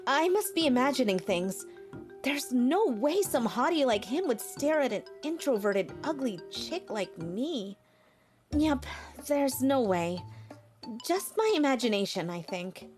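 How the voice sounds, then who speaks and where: Casting: actress for introverted high school girl narrator in an anime-style cartoon, high and very lively, self-deprecating, melodramatic. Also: measured pace, soft background music. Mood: anxious, self-pitying. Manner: dramatic, self-pitying.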